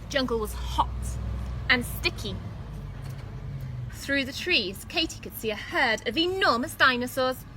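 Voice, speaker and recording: Animated woman reading a children's story. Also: high voice, a little hiss or hum. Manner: animated, deliberate, pausing to ask questions. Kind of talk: expressive storybook read-aloud for young children.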